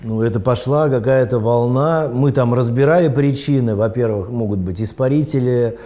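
Mellow-voiced man explaining, in halting bursts. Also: mid-range voice. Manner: halting, often breaks off and rephrases. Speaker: medical expert guest on a health show. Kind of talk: explanatory interview talk.

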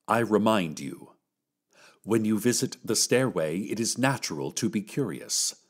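An ominous male keeper of a mysterious stairway in an audio drama. He speaks very slowly in a slightly low voice. Every phrase is formal and measured, a solemn caution given as a radio broadcast. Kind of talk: scripted audio fiction radio broadcast monologue.

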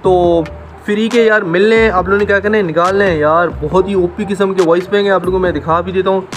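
A man's voice: high voice, steady tone, fast, a bit bright, slight background noise. A man hosting a gaming channel, explaining a mobile game in a casual, chatty, repetitive way that addresses viewers familiarly.

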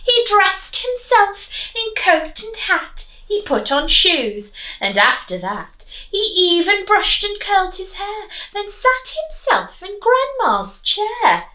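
A young woman teacher reading and chatting expressively to children, clearly enunciated. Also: very high-pitched, very animated, slow pace, hardly any pauses, pushed and bright. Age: young adult. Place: small living room, on a sofa.

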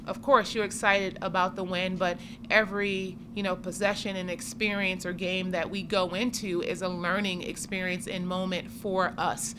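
A woman in her forties, a head coach, answering postgame questions in a measured, steady way at a table mic in a press room. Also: on the low side. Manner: measured, steady, many "I mean" and "you know". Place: press conference room with sponsor backdrop. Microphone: table mic in front of her. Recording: noisy room ambience.

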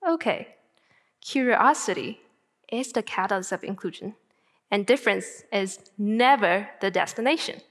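A young woman in her twenties from northern China, a native Chinese speaker with a Mandarin Chinese accent, giving an earnest prepared talk on a stage and slowing down to stress her phrases. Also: medium pitch, lively intonation, a bit bright. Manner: earnest, deliberate with slow emphatic phrases. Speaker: student speaker. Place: large auditorium stage. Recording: long hall reverb.

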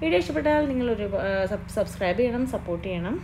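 A woman's voice: fairly high pitch, expressive, rapid-fire. A young woman with a Malayali accent, chatting through a home cooking vlog in a kitchen and mixing English words into her speech. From Kerala. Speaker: cooking vlogger. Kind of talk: casual cooking voice-over. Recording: noisy background.

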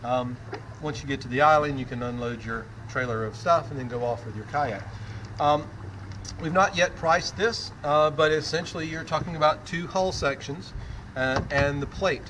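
An older man with a slightly raised voice talks through a product demonstration in a relaxed, conversational way, often pausing on "uh" and "um". He is recorded outdoors by a camera mic at a little distance, with faint background noise. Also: a little high. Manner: conversational, explaining with frequent fillers. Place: outdoors, standing in shallow bay water. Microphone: camera mic at medium distance.

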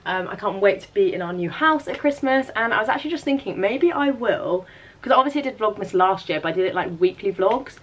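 Chatty young woman, slightly hoarse. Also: mid-range voice, expressive, a little fast. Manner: chatty, lots of "um" and "like". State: slightly sore throat from a cold. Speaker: vlogger. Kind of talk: casual chat to camera. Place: bedroom at home, indoors. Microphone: handheld camera, arm's length or mirror.

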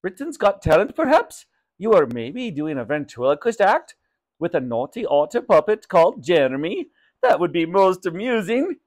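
Excitable, flattering storybook shopkeeper, acted by a man, high and swooping, exclaiming effusively. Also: moderate pace. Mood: excited, flattering.

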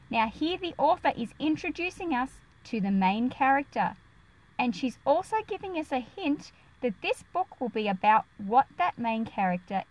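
A woman's voice: on the high side, highs cut off. A woman reading a picture book aloud and explaining it to children in a careful, teacherly way. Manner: careful, explanatory, enunciated for young listeners.

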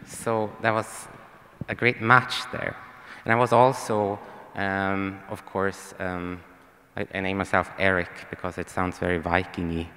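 Young Swedish-accented man, deep voice, panel speaker, handheld mic, reverberant hall. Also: level tone, a little slow, sharp tone. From Sweden. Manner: hesitant, many ums and restarts. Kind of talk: conference panel talk. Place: large hall, on stage.

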